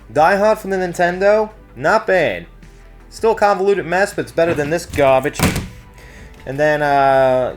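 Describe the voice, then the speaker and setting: Higher-pitched man chatting casually over music. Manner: rambling, informal, frequent "like, you know" asides. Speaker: video game reviewer and co-host. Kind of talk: casual review chat.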